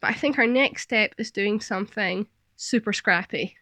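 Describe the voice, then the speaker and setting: A woman's voice: mid-range voice, normal speed, pushed and bright, faint music behind. A Scottish woman hosting a sewing vlog, chatting and narrating casually. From Scotland.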